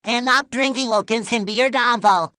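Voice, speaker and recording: A man voicing a chirpy, pushy cartoon sea sponge pitchman in a commercial skit. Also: moderate pace, sharp tone. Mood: pushy, upbeat.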